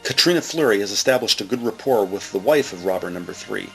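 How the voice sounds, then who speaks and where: A man's voice: on the low side, expressive, fast, vocal fry, poor audio. A man reading scripted, present-tense narration for an audio drama as its narrator. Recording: music bed under the voice. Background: music.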